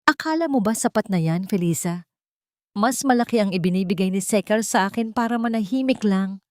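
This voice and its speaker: A woman's voice: medium pitch, fairly quick, no room sound, pitched above the performer's own voice, natural voice. Woman reads as sneering male driver, Filipino accent, greedy and wary, furtive delivery, dry audiobook drama. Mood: mocking. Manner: mocking, furtive. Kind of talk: audiobook melodrama.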